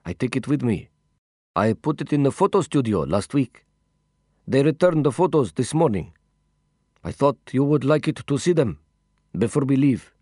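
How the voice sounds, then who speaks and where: A man voicing an earnest, solicitous male war comrade who speaks in halting, non-native phrasing in an audiobook story. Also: medium pitch, big pitch swings, a little mellow, no echo, clean studio sound, pitched above the performer's own voice. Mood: gentle, concerned. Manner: halting foreign-learner phrasing.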